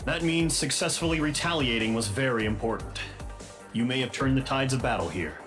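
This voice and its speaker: A sober male military officer, voiced by an actor gives a formal game briefing. His voice is authoritative and medium in pitch, with clear music playing behind him. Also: fairly quick, lightly acted.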